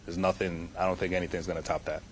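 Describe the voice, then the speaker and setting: A man's voice: low-pitched, level tone, fast talker, very noisy. A man in his thirties from Puerto Rico, a police sergeant answering press questions in a plain, earnest way.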